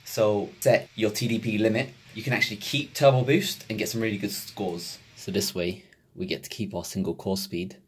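Casual young man, low voice. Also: slight background noise. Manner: chatty, gets loud and giddy with excitement. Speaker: tech reviewer. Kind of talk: casual tech vlog. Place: home desk room with monitors, indoors.

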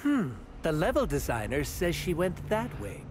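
An actor voicing a wisecracking antihero mercenary in a superhero video game, flippant and theatrical, full of rambling asides. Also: male voice, on the high side, huge pitch swings, moderate pace. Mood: gleeful, joking. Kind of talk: video game cutscene acting. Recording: loud music or ambience behind.

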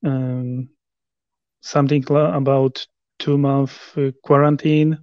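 Slow, flat-voiced Polish man. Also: mid-range voice, dry recording, dull audio. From Poland. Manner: halting non-native English with many restarts and fillers. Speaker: interview guest, rower. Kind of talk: casual video call interview. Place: living room at home. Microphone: video call.

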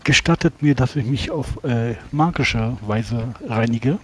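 A man playing a courteous, formal battle mage who speaks politely and haltingly. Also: medium pitch, a little hiss or hum, natural voice.

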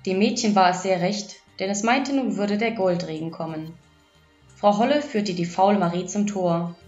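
An echoey, slightly dull recording of a woman telling a children's fairy tale. She reads it as an audiobook storyteller, at a middle pitch, with music behind her. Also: normal speed, a little mellow.

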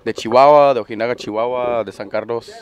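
A man with a middle-pitched voice chats in a relaxed, agreeable way during a group interview. He is an accordion player, recorded on a stand mic out on a garden patio. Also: a little fast, crowd noise. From United States.

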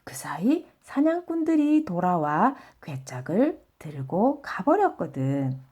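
A woman reads a children's storybook aloud for bedtime. Her pitch moves in a lively way, and her tone is soft-edged and mellow. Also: takes it slowly, breathy edge. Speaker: storybook reader.

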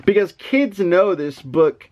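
An exasperated reader stuck with a silly children's storybook, acted out by a man. The delivery is playful and reluctant, full of mock protest, with words slowly stretched out for effect. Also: high voice, big pitch swings, lo-fi sound, full character voice. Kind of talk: animated children's storybook reading.

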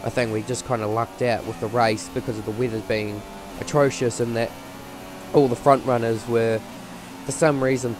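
A man's voice: mid-range voice, noisy background. A man with a voice strained from a cold, giving rambling, casual commentary over a racing game with many fillers.

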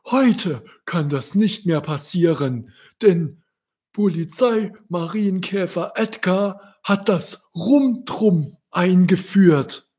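A man voicing an elderly ladybird grandparent in a children's story, reassuring and deliberate as they explain things. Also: high-pitched, a little mellow, breathy edge, voice pushed up, exaggerated voice.

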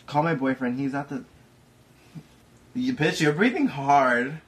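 A young man in his twenties, chatting excitably and dramatically on a home livestream. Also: medium pitch, a little slow. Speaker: livestream co-host. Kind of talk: casual livestream chat. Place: living room at home.

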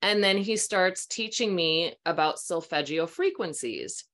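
A woman in her forties hosting a video call from a small room at home, telling stories in an animated, chatty way and acting out voices along the way. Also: lowish voice, unhurried. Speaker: host, sound healing practitioner. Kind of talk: casual storytelling on a video call. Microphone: video call webcam mic.

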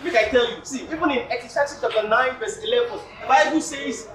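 Played by a man, a preachy young Nigerian man with a very high voice who quotes scripture insistently. The sound comes from a distance in an echoey hall. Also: quick pace, fairly bright tone, slight background noise. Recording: echoey hall, far camera mic.

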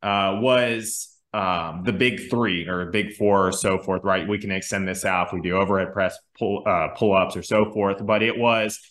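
A man's voice: low-pitched, fairly even intonation, moderate pace, hardly any pauses, a bit bright, reverberant. A man in his thirties, a strength coach, explaining training ideas in casual talk on a video call, with frequent restarts and fillers. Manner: thoughtful, many restarts and fillers. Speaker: strength coach, podcast co-host. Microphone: video call webcam.

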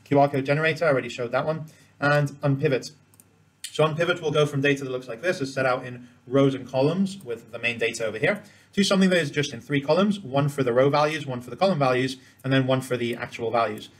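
A man's voice: mid-range voice, quick pace. A man presenting an informal screen-share tutorial, explaining each step as he demonstrates it. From Cambodia.

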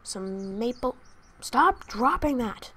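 A woman's voice: on the high side, expressive, slow, sharp tone, slight background noise. A woman from Canada, chatting casually to viewers while narrating her gameplay into a new desktop microphone. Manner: chatty, thinking aloud to viewers. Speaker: gaming channel host.

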